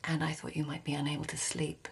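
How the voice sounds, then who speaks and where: Low, creaky-voiced court lady. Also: completely flat delivery, fast, exaggerated voice. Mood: soothing, intimate. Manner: hushed, soothing, commanding. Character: intimate, self-assured Japanese court lady. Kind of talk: radio drama acting.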